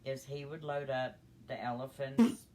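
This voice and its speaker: An older woman telling a personal story in a conversational, halting, unhurried way. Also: very deep voice, steady tone, warm tone, slight background noise, poor audio. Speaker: storyteller grandmother.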